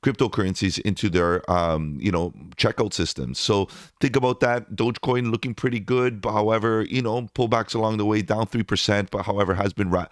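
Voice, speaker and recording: Hoarse low-voiced man talking crypto. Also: steady tone, a little fast, few pauses, no echo, clean studio sound. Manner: upbeat salesy pitch, addresses viewers as guys. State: losing his voice, hoarse. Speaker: crypto channel host. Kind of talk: market analysis commentary over screen charts.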